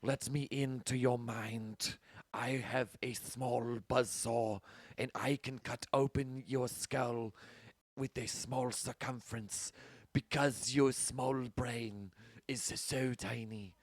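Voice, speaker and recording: A man voicing a soft-spoken, creepy German psychiatrist who talks slowly and eerily calm. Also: medium pitch, no echo. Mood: eerie calm. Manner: slow, hushed, eerily calm.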